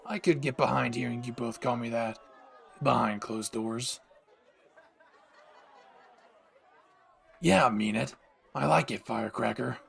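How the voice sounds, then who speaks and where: A man's voice: mid-range voice, normal speed, creaky, breathy edge, some chatter behind. A man voicing a brash, cocky young superhero boyfriend who teases affectionately in an intimate romantic audio roleplay. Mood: teasing, affectionate. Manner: teasing, affectionate, swears casually.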